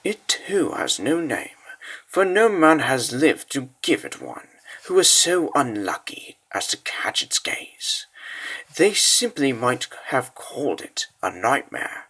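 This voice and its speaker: Forceful, theatrical male fantasy narrator. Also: mid-range voice, wildly swooping pitch, breathy edge, poor audio. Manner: theatrical, ominous, deliberate phrasing. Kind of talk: dramatic scripted fantasy narration.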